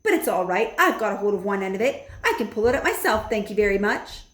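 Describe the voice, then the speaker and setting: Woman doing a doting old grandmother, high and calm, roomy echo. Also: fast. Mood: affectionate. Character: warm, loving old grandmother.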